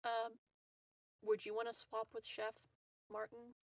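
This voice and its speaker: A woman's voice: on the high side, completely flat delivery, measured pace, gravelly, no echo, recorded from a distance. A woman chatting casually as a player in a tabletop game.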